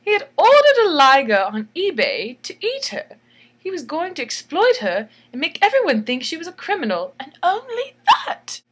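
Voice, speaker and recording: A woman's voice: extremely high pitch, wildly swooping pitch, moderate pace, fairly bright tone. A woman reading a bedtime story in a theatrical, tongue-in-cheek way, giggly and chatty, repeating phrases for emphasis. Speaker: storyteller and illustrator.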